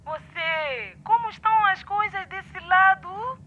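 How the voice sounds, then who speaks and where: A woman's voice: very high-pitched, slow pace, exaggerated voice. A woman playing a middle-aged Mozambican mother in a radio drama, fussing affectionately over her grown son through a telephone filter. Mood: fond, concerned. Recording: muffled phone call with faint noise.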